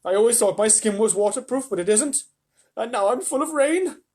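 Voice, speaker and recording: A man's voice: high-pitched, animated, brisk, darkish tone, pitched above the performer's own voice. A man voicing a gloomy, worrying male earthworm in a storybook, mournful and complaining.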